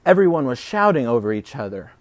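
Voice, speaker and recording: A man reading a children's story aloud in an expressive, dramatic way. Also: medium pitch, quick pace, no room sound. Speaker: preschool storybook reader. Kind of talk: animated children's story narration.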